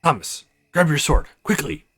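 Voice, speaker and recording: A man's voice: a little high, expressive, normal speed, fairly bright tone, breathy edge. A man voicing a stern, commanding religious zealot of a hunter in a visual novel game, preachy in manner. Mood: zealous, impatient. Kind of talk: visual novel read-aloud.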